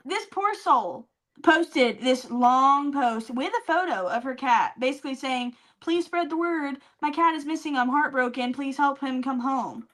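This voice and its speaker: A woman's voice: sits high, measured pace, a bit bright. A woman co-hosting a podcast in casual comedic chat, telling stories emphatically, with swearing and repeated phrases for effect. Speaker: podcast co-host.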